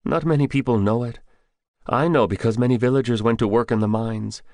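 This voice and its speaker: A man's voice: mid-range voice, brisk, a little mellow, no echo, studio quality, voice pushed up, close to an everyday voice. A man voicing a frightened young male village storekeeper in an audiobook, anxious and pleading. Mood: bitter. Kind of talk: audiobook character voice.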